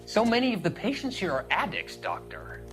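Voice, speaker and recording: A man's voice: high voice, wildly swooping pitch, normal speed. An actor playing an earnest, polite young medical student who questions his superiors, in a dramatic video game cutscene. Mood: troubled, deferential. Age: young adult. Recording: music behind the voice.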